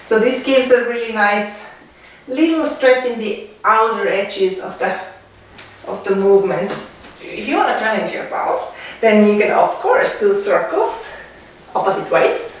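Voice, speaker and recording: A woman from Finland with a Finnish accent, leading an exercise demonstration as a dance and fitness instructor, speaking haltingly in short phrases with pauses. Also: mid-range voice, lively intonation. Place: indoors at home, away from the mic. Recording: echoey room, distant mic, faint noise.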